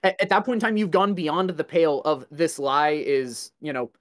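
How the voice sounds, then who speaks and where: A man's voice: on the high side, steady tone, moderate pace, fairly bright tone. Conversational man making emphatic points. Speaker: podcast panelist. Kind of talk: casual chat.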